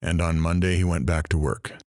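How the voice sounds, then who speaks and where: A man's voice: low-pitched, fairly even intonation, a little fast, a little mellow, no echo, clean studio sound, lightly acted. A man playing a sympathetic show host in an audio drama, attentively drawing out someone's story with questions. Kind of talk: audio drama acting, interview.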